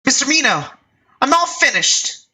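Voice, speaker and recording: A man voicing a cheerful, eager young woman writer in a raised falsetto-like voice. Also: sing-song, a little slow, bright, forceful tone, full character voice. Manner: high, bubbly, insistent.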